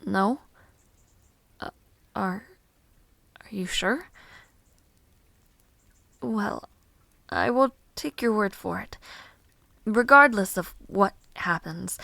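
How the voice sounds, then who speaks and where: A woman voicing a gentle young noblewoman who looks after a bedridden stranger, speaking formally and politely, with shy laughs. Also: medium pitch, vocal fry, no echo. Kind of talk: audio roleplay addressed to the listener.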